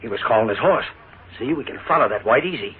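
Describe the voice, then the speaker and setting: A man acts an excitable outlaw sidekick who questions everything, his pitch jumping about as he rattles along. It sounds like a narrow, noisy 1940s radio western. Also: fast, lightly acted. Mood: alert, excited. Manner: excitable, doubting. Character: jumpy, questioning male outlaw henchman in the Old West.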